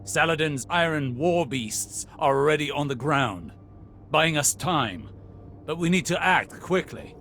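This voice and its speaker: Proud veteran warlock mentor for a game: formal, deliberate, slow, with a crisp forceful edge. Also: male voice, on the high side, lively intonation, slight background noise. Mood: commanding, composed. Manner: measured, formal, eloquent. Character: proud, wise older male warlock, regretful mentor. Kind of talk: video game voice acting.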